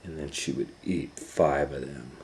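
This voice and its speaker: A man's voice: extremely low pitch, measured pace, a little mellow, slight background noise, rough recording. A man reading a children's picture book aloud as a storytime host, in a dramatic, playful way.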